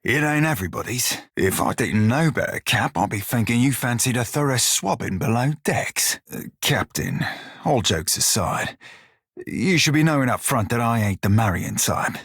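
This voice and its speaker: An actor playing a cocky, bawdy furry in a video game, with a sly, wry, drawling manner. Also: male voice, medium pitch, expressive, normal speed, a bit bright, husky, no room sound, studio quality. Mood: teasing, cocky. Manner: salty, drawling pirate dialect, sly and wry. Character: bawdy, roguish furry orlan pirate shiphunter, loyal and cocky. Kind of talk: video game character dialogue.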